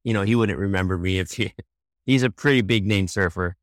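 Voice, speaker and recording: Relaxed man with a low voice, dry sound. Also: brisk, no echo. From Hawaii. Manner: relaxed, easygoing, laughs often, restarts sentences. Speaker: guest, children's book author and illustrator. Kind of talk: casual interview conversation.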